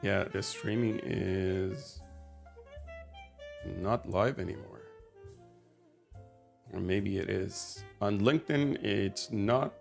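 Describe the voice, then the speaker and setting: A man giving casual live-coding commentary as a developer, halting as he thinks aloud. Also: fairly low pitch, creaky, faint music behind. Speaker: software developer and live streamer.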